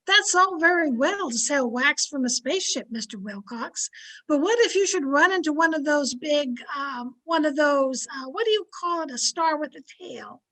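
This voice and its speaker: A woman playing a patient, folksy, gently chiding wife in an old-time radio comedy. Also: high-pitched, animated, normal speed, rough recording. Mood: skeptical. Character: patient, sensible wife gently correcting her blustering husband.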